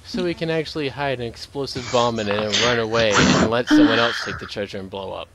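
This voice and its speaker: A man's voice: mid-range voice, quick pace. A man in casual online game chat, joking mischievously with friends. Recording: faint background noise.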